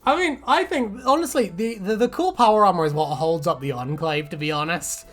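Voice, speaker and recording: A man's voice: sits high, a little fast, fairly bright tone. A man chatting casually and jokingly as a video host, rambling and swearing freely. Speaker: YouTube host giving reactions to fan predictions. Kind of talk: casual comedic chat. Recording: music playing behind. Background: background music.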